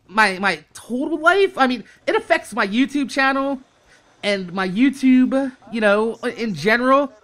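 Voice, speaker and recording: A young American man hosts a casual venting video in a bright, forceful voice. His pitch swings widely as he complains in an exasperated way, leaning on profanity and repeating words for emphasis. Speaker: YouTube host. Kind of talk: casual storytime rant.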